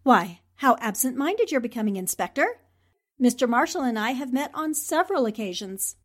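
An actress playing a poised, quick-witted newspaperwoman, arch and polite, in 1940s radio drama style. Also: on the high side, animated. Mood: coolly knowing.

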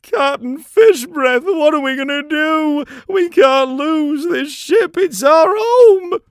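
A man voicing a panicky pirate crewman in a story, pleading and wailing with drawn-out words. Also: very high voice, expressive, no room sound, studio quality, pitched above the performer's own voice, full character voice. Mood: panicked. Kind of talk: acted children's storybook character.

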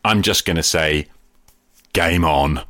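A man's voice: a bass voice, takes it slowly. A man hosting a podcast, chatting in a casual, off-the-cuff way. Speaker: podcast host and player.

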